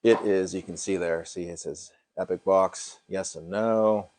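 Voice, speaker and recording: This is a middle-aged American man with a fairly low voice. He hosts a casual livestream, showing off a product as he talks. His manner is folksy, and he tends to circle back and repeat his points. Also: fairly even intonation, a little slow, darkish tone. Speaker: host of a paranormal livestream.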